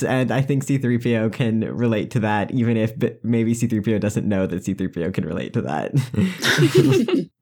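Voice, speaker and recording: A player explaining game moves hesitantly, full of pauses and false starts. The voice is mid-pitched. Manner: hesitant, laughing. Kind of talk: casual tabletop game chat.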